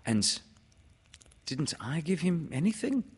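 Man as wistful little boy, raised pitch. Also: huge pitch swings, slow, fairly bright tone, breathy edge, heavily acted. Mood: wistful, anxious.

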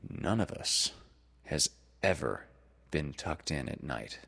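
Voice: extremely low pitch, expressive, takes it slowly, creaky, fairly bright tone, slightly rough, voice pushed down, full character voice. A narrator voicing a cocky young boy adventurer in a storybook, earnest, sly and coaxing. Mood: sly, cunning.

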